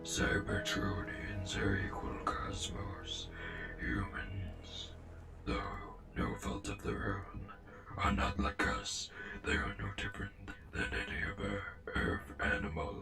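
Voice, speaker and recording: A man voicing a calm, formal robot officer in a comic dub, measured and deliberate, with a gravelly, whispery, synthetic-sounding voice. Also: lowish voice, heavily acted. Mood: calm, persuasive. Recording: music behind the voice.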